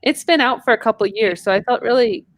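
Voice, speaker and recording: A woman's voice: on the high side, fast, dry recording, studio quality. A woman co-hosting a casual conversation about video games. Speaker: podcast co-host.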